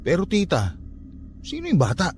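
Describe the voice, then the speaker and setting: A troubled, grieving young nephew in a radio drama , acted by a man. His high voice moves expressively and sounds plaintive and stubborn. Music plays softly behind him. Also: huge pitch swings, normal speed. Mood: troubled, questioning. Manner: plaintive, emotionally charged. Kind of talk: Tagalog radio drama acting.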